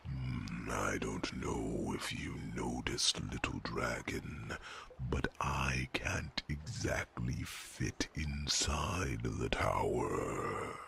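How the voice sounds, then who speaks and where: A man playing a huge, gentle old dragon mentor in an ASMR fantasy roleplay, speaking in a slow, gravelly, breathy rumble with thoughtful hums. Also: full character voice. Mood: gentle concern.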